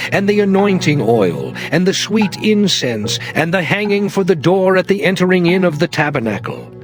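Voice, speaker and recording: Moses, solemn prophet. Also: male voice, fairly high pitch. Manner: solemn, measured declamation. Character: Moses, stern aged male prophet. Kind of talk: dramatized scripture reading. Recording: music playing underneath.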